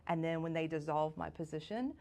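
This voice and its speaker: Woman, lowish voice, chatty. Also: brisk, dark tone. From South Georgia, US. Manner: chatty, storytelling, self-deprecating. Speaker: chamber of commerce president, guest. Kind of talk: casual podcast conversation.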